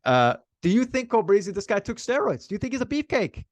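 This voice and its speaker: A man's voice: high voice, very animated, fast talker, dry recording. A man co-hosting a sports podcast in casual chat, speaking emphatically and repeating phrases for effect.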